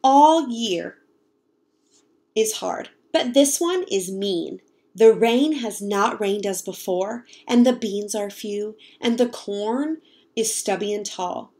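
A young woman reading a picture book aloud to children in an expressive, explanatory way. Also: medium pitch, takes it slowly. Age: young adult. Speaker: read-aloud storyteller, teacher. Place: home kitchen, indoors.

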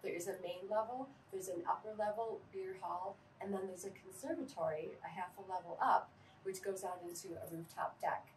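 A middle-aged woman of medium pitch talks upbeat and easygoing, dropping in the odd filler. She sits a little back from the camera mic, with a faint hum of the room under her voice. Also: moderate pace, recorded from a distance, rough recording. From Minnesota. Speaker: brewery owner. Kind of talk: promotional on-camera talk. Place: indoor brewery taproom.

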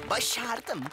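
Slow, very high boastful cartoon kid. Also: female voice, heavily acted. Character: boastful, competitive, mischievous child. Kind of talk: animated children's cartoon dub.

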